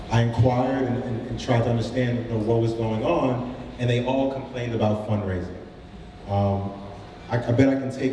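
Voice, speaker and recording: A man who founded a startup gives an informal pitch talk to a live audience, with many fillers and restarts. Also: mellow, a little hiss or hum. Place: event room with audience. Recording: roomy hall, mic from a distance.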